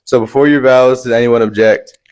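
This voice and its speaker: A man's voice: medium pitch, steady tone, a little fast, a little mellow, smooth, close to an everyday voice. A man acting a reverend with a hoodlum past in an amateur radio play, talking in street slang and folksy storytelling. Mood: ceremonial.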